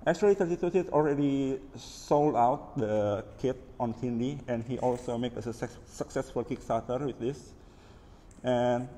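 A man's voice: mid-range voice, warm tone. Muffled-toned Indonesian man in an echoing room. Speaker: maker presenting his DIY electronics build. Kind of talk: casual unscripted hands-on overview. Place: indoors at a wooden table, hands-only camera view. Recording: reverberant room with background noise.

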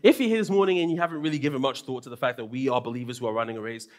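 Animated London preacher at a pulpit. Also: male voice, medium pitch. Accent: London English. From London, England. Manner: animated, swings between soft asides and raised emphatic lines. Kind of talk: sermon. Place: church stage with purple lighting.

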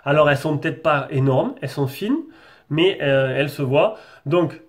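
A French man with a cold talks through a stuffed nose as he reviews a phone off camera, his voice pitched a little high. He chats loosely and often stalls on fillers and restarts. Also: moderate pace. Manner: chatty, hesitant, many fillers and restarts. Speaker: tech reviewer. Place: desk setup with a branded backdrop, indoors.

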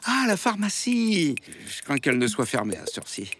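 A man playing a courteous, apologetic townsman in an animated children's cartoon. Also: a little high, brisk, very noisy. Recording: music behind.

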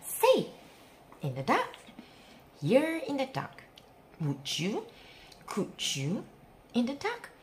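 A woman reading a rhyming picture book aloud for young children in an expressive, theatrical, sing-song way with emphatic pauses. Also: a little high, a little hiss or hum. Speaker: children's story reader.

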